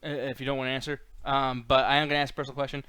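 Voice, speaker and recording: Male live-stream host, crisp and dry-sounding, blunt, joking, sweary, loose conversational delivery. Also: medium pitch, level tone, racing, bright, forceful tone, no room sound. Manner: blunt, joking, profane, uses slang. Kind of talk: casual chat.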